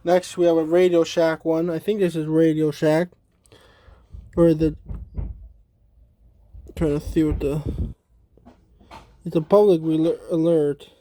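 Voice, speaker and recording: A man giving a casual, unscripted demonstration as a hobbyist, halting and trailing off mid-thought. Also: on the high side, mellow, a little hiss or hum. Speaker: hobbyist demonstrating a weather radio collection.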